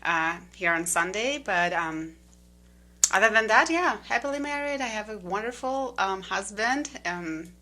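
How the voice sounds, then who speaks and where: A woman in her thirties from Ukraine, a guest telling her story in a relaxed, chatty way with many fillers and slightly phrasing. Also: mid-range voice, unhurried. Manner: relaxed, many "um"s and "like"s, slightly non-native grammar. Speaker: interview guest. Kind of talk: casual conversational storytelling.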